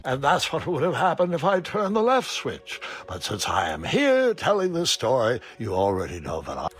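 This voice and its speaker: An actor playing a whimsical old grandfather who narrates his adventures theatrically for a storybook-like game, savoring his words with gentle teasing. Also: mid-range voice, huge pitch swings, moderate pace, music in the background. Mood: wistful, dramatic. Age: older.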